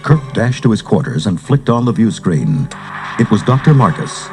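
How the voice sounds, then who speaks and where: A man's voice: lowish voice, sing-song, moderate pace, gravelly. A man giving dramatic scripted narration for a read-along adventure story. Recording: cassette transfer with music underneath.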